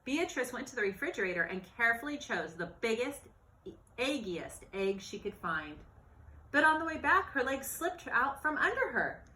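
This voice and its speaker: A woman reading a children's storybook aloud in an expressive, animated narrating style. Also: on the high side, moderate pace. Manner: expressive, acts out dialogue and exclamations. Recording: roomy, echoey sound.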